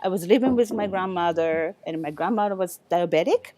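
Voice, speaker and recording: A middle-aged woman from Japan, a chef speaking as a guest in a relaxed interview, halting and often restarting her sentences. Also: lowish voice. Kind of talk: casual interview chat.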